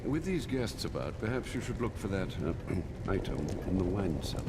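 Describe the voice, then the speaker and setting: An actor playing an elderly butler in a video game, formal and polite with dry, unflappable wit. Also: male voice, lowish voice, sing-song, normal speed, background noise. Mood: polite, discreet. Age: older. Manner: formal, polite, dryly sardonic. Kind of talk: video game cutscene acting.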